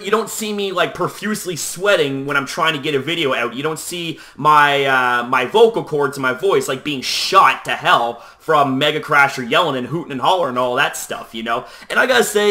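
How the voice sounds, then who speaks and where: A young man talks to his viewers in a relaxed, rambling way, holding his voice down to a controlled level. His tone is bright and forward, and his pitch sits a little high for a man. He fills his sentences with "you know" and "like". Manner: loose and chatty, heavy on "you know"; talking at a deliberately toned-down volume. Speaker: YouTube host. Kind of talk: casual talk to camera.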